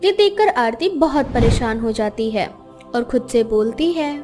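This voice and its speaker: Female storybook narrator, very high voice, scripted children's tale delivery over soft music. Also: lively intonation, measured pace. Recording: music behind the voice.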